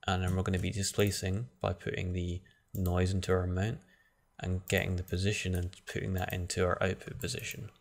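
Male tutorial host, deep and gravelly, flat delivery, casual think-aloud style. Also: brisk. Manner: relaxed, thinking aloud with frequent restarts. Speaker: software tutorial instructor. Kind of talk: screen-recorded software tutorial.

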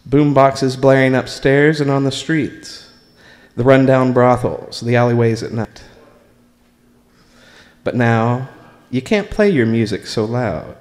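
An American man reading his own writing aloud at a live open mic, in a deliberate, measured way with pauses between short phrases. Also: medium pitch. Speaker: spoken word performer reading own writing. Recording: live recording in a reverberant room.

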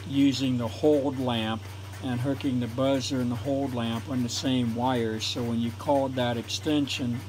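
A man talks slowly and plainly, walking through technical details the way an old installer would. His voice sits at an ordinary middle pitch. A faint hiss of room noise stays underneath from a camera mic in a home workshop. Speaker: retired telephone installer demonstrating equipment. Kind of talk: technical explanation voice-over for a hobby video.

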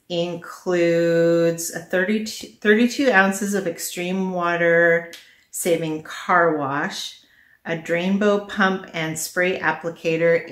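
A woman's voice: on the low side, fairly bright tone. A middle-aged woman chatting casually to the camera in her living room, thinking aloud as she reviews products. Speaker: vlogger presenting a product haul. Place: small living room at home, indoors. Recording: echoey home living room.

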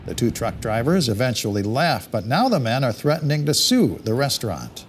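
A man reading local news stories as a television studio anchor, in a steady, measured delivery. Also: medium pitch, slight background noise.

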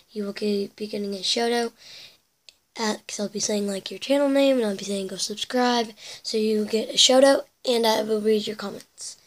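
Teen girl, fairly high voice, hoarse and cracky, rambling with whispered asides, bedroom webcam. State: losing her voice, a bit cracky. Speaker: YouTuber reading viewer comments. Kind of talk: casual chat to camera. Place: bedroom indoors.

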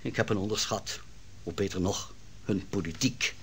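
A man's voice: lowish voice, steady tone, normal speed, a bit bright, slight background noise, lightly acted. An actor playing a worried, brooding man reporting on the trial in an old radio drama.

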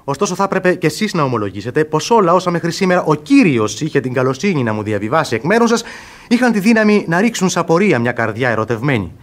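An actor playing a courteous, lovestruck young gentleman in a classic radio comedy, speaking in a formal, deferential, theatrical way. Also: a little high, big pitch swings. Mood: earnest, courtly.

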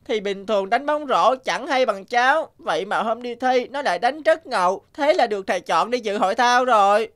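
A woman's voice: fairly high pitch, lively intonation, normal speed, few pauses, fairly bright tone. A woman voicing a self-pitying nine-year-old boy in a cartoon, whining and complaining. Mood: sulky. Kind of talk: cartoon dubbing.

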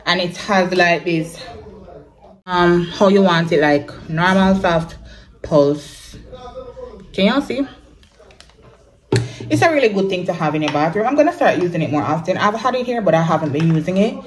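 A young Jamaican woman vlogging at home, talking casually to her phone camera in a chatty, relaxed way and slipping into patois now and then. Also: on the low side, normal speed, long pauses, rough recording. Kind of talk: casual vlog chat to camera. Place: small home bathroom and bedroom, indoors. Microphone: phone camera at arm's length.

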